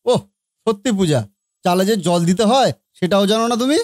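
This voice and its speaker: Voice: medium pitch, lively intonation, a little fast, darkish tone, no room sound, natural voice. A reproachful young husband from a comedy cartoon as acted in a dub, recorded close and dry. He is an exasperated office-going son with a resigned way of scolding. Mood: puzzled. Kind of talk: Bengali comedy cartoon dialogue.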